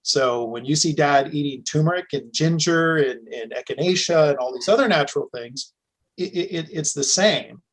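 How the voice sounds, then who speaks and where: A man's voice: on the high side, normal speed, rough recording. A middle-aged man from the United States, a podcast guest telling stories in casual, chatty conversation. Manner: chatty storytelling, with restarts.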